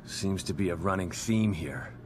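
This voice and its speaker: A man's voice: low voice, normal speed, noisy background, lightly acted. An actor playing a weary, determined father in a video game, talking in a terse, blunt, wry way. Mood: wary, blunt. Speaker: father searching for his daughter in a horror game. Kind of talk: video game cutscene acting. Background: background music.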